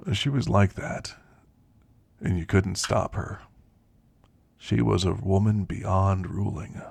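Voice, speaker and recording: A man playing an elderly, ailing werewolf in an ASMR roleplay, gentle and reflective, reminiscing in a soft, deliberate, gravelly voice. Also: deep voice, fairly even intonation, no echo, full character voice. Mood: wistful, tender. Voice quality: gravelly, husky.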